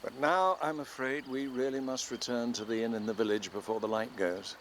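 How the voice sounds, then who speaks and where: A man acting a courteous, measured gentleman doctor in a film drama. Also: medium pitch, steady tone, a little hiss or hum, natural voice.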